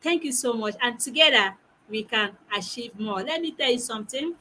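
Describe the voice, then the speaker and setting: A woman with a squeaky voice, hosting an online talk show in an effusive manner, repeating her phrases. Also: lively intonation, moderate pace, pushed and bright, a little hiss or hum. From Nigeria. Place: online video call.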